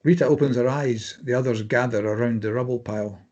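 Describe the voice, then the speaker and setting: A man's voice: medium pitch, a little fast, darkish tone, lo-fi sound. A man reading stage directions as scripted narration for a drama table read.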